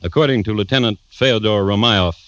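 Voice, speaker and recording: A man narrating a vintage radio crime drama as host, deliberate and drily droll. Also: fairly low pitch, no room sound, lo-fi sound. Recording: noisy old radio recording.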